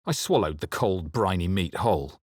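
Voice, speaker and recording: A male actor playing a grave, brooding adventurer in a fantasy video game, with husky, measured, dramatic delivery. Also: deep voice, lively intonation, no room sound, clean studio sound. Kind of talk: scripted fantasy game narration, character lines and spell incantations.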